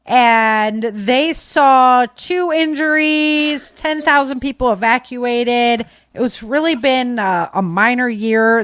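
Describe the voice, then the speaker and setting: A woman's voice: fairly high pitch, fairly bright tone, no room sound. A woman podcast host casually reading through disaster news, with informal, chatty asides to listeners.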